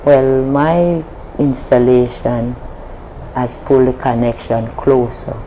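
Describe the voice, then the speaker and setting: A woman from Jamaica with a Jamaican accent, a guest telling a long story in an interview, slow and deliberate with frequent restarts. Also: very deep voice, warm tone, slight background noise, rough recording. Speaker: interview guest, Maroon leader.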